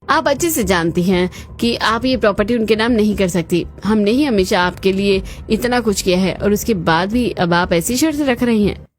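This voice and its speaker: A woman's voice: mid-range voice, expressive, moderate pace, slight background noise, close to an everyday voice. A woman voicing a spiteful, jealous elder daughter-in-law in a cartoon family drama, haughty and taunting. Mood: demanding. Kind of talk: Hindi cartoon moral-story voice acting.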